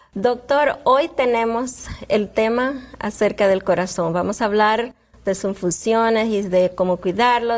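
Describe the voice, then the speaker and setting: A woman's voice: medium pitch, a little mellow. A woman with a Panamanian Spanish accent, hosting and interviewing a guest on a health program. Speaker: host.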